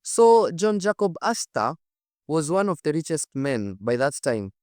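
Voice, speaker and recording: A man's voice: fairly high pitch, very animated, measured pace, darkish tone, no echo, studio quality. A man with a Kenyan accent hosting as a storyteller, conversational and emphatic, repeating phrases and throwing out rhetorical questions. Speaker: host, storyteller. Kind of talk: animated conversational storytelling to camera. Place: studio set.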